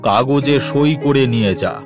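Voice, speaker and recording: A man acts out a tight-fisted village landlord in a cartoon. He speaks grudgingly, in a measured way, over background music. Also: medium pitch, close to an everyday voice. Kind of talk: Bengali moral cartoon voice acting.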